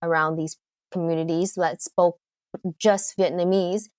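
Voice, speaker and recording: A woman's voice: fairly low pitch, steady tone, unhurried, a little mellow, no room sound, clean studio sound. A woman from the United Kingdom with a Canadian accent, a guest in a casual interview, talking thoughtfully and hesitantly with lots of fillers.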